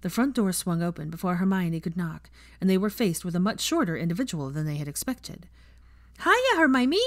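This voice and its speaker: A woman's voice: fairly low pitch, lively intonation, brisk, a little mellow, no echo, clean studio sound. A woman narrating a scripted story as an audiobook reader.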